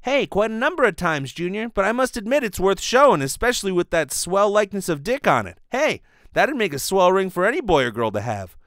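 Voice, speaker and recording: An earnest police sidekick acted by a man talks in a raised, lively voice. He is chummy and gee-whiz, straight out of an old radio serial. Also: high-pitched, a little fast, hardly any pauses, no room sound, clean studio sound, voice pushed up.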